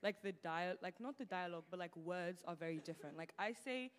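A woman's voice: fairly low pitch, a little fast. A teenage student girl from Nigeria with a British-tinged Nigerian accent, chatting casually on a church stage into a handheld mic, full of "like" fillers. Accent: British-tinged Nigerian English. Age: teens. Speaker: student guest. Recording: long reverb of a large hall.

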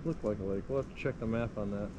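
A man's voice: lowish voice, quick pace. A man chatting casually as co-host of an outdoor hiking vlog, picked up by a handheld camera. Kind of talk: casual chat. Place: outdoors in bare woods and inside an open wooden shelter. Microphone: handheld 360 camera. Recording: windy, noisy outdoor camera audio.

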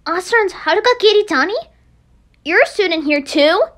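A woman's voice: very high voice, lively intonation, moderate pace, fairly bright tone. A woman voicing a brash, hot-tempered teenage girl in an anime game, blunt and scolding. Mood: indignant. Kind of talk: amateur anime game voice acting.

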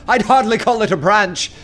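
A cool agent bluffing his way along in a radio play. His voice is high for a man and very lively, with an arch manner. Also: full character voice. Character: smooth-talking male agent bluffing as visiting inspector. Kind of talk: full-cast radio drama acting.

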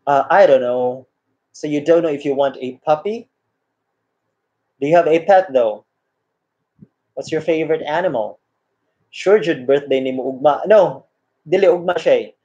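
A man's voice: on the high side, moderate pace, long pauses, mellow. A man, casually chatting with viewers on a live stream, reading comments aloud and greeting people by name. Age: 30s. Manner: friendly, greets viewers by name, reads chat aloud, laughs often. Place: small room indoors. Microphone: laptop webcam mic. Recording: dull lo-fi laptop sound.

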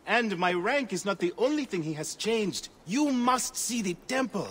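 An actor playing a genial middle-aged temple priest, courteous and effusive. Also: male voice, high-pitched, animated, normal speed, fairly bright tone, a little hiss or hum.

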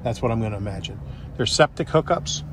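A man's voice: mid-range voice, expressive, fast talker, slight background noise. A man working as a real estate agent, talking casually and jokily through an unscripted walkthrough tour. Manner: folksy, offhand, jokey.

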